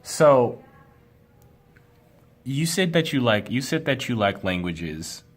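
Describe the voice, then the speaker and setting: A man interviewing a woman in a relaxed, conversational way, asking questions and murmuring along. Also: mid-range voice, slow pace. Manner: conversational, frequent back-channel murmurs.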